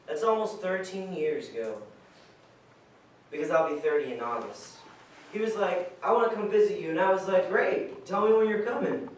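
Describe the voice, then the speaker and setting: A man's voice: sits high, animated, moderate pace. An actor playing an easygoing man nearing thirty, telling a personal story conversationally in a staged reading. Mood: casual, amused. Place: stage in a reverberant room, recorded from afar. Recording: echoey hall, far mic, faint noise.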